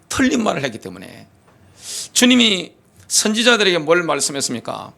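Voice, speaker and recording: A man's voice: on the high side, vocal fry, a bit bright. A male pastor preaching a sermon in a church, asking rhetorical questions and stressing his points emphatically. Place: church sanctuary.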